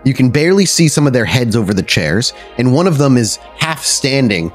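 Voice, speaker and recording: A man's voice: mid-range voice, big pitch swings, fairly quick, soft background music. A man narrating a tabletop game as game master, descriptive and conversational, improvising as he goes.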